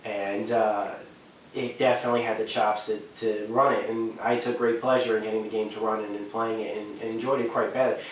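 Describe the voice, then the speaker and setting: A man's voice: on the low side, flat delivery, fairly quick, slight background noise. A man hosting a video game countdown with casual, unscripted commentary, stressing and repeating words for emphasis. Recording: very roomy echo, distant from mic.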